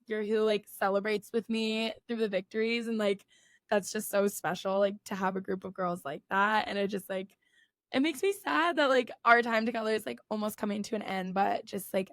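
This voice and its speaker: A woman in her twenties hosting a podcast, talking casually with friends, filling her speech with "like" and sounding a little raspy. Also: medium pitch, dry recording, clean studio sound. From United States. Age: 20s. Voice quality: a bit raspy. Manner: chatty, says "like" constantly. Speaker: podcast host. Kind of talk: casual chat.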